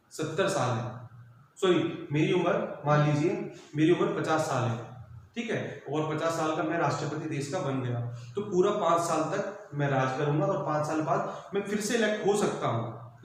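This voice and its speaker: A man in a classroom lecture walks students through civics ideas, repeating each point and calling them "beta". His voice sits a little high for a man. The recording rings with heavy room echo over faint background noise. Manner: explanatory, repeats points, calls students "beta", mixes English terms into Hindi. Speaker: school teacher.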